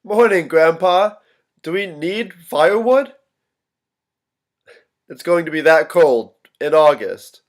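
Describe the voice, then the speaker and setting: A man in a staged drama reading, playing a troubled young son who speaks haltingly and slips into his missing family's voices. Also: a little high, animated. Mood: subdued, weary. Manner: halting, repetitive, switching tones of voice. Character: troubled young son losing his grip, pleading, echoing his vanished family.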